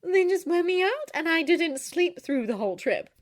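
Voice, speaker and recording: A woman's voice: very high voice, very animated, normal speed, voice pushed up. A woman voicing a reserved, guarded young woman in a storybook reading, speaking in short, terse lines.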